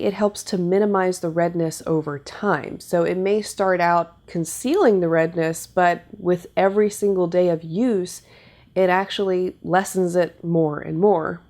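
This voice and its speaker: A woman's voice: on the low side, darkish tone. A young woman giving a chatty makeup tutorial, sounding nasal from a cold. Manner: upbeat, chatty product demonstration. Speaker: beauty vlogger. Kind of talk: casual makeup tutorial talk. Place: home filming set, indoors.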